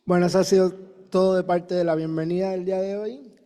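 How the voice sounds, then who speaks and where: A man's voice: high voice, steady tone, fast talker, warm tone, in a large hall. A man presenting the staff introductions at an event, brief and with some fillers. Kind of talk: announcing introductions.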